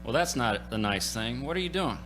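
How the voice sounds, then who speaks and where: A man's voice: medium pitch, lively intonation, fairly quick, a bit bright, slight background noise, close to an everyday voice. A man acting an easygoing, folksy suburban husband and father in a radio play. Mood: genial.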